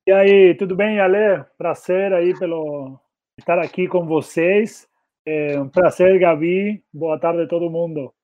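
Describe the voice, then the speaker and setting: Male podcast guest, Argentine accent, native Spanish speaker, fairly high voice, thin phone-like audio. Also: measured pace, no echo. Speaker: podcast guest, community marketing specialist. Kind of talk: casual interview talk.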